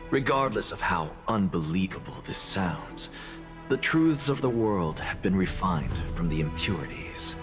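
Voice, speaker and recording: An actor playing a confident, scholarly alchemist in a video game, lecturing in a grand, self-assured way. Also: male voice, low-pitched. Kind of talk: scripted dramatic game dialogue.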